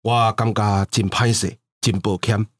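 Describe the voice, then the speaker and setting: Guy doing a low slow solemn president voice, taiwanese accent, mushy radio drama speech. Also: fairly even intonation, no echo, studio quality. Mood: solemn regret. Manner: slow, grave, sentimental oratory. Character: solemn, tender male head of state reading letters. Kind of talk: mock radio drama acting.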